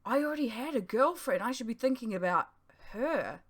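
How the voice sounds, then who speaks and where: A woman reading her own novel aloud as expressive first-person narration. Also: on the high side, normal speed. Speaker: author reading own audiobook.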